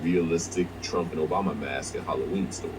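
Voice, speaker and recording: Low-voiced male narrator, brisk scripted countdown read, clearly noisy playback. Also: a loud crowd.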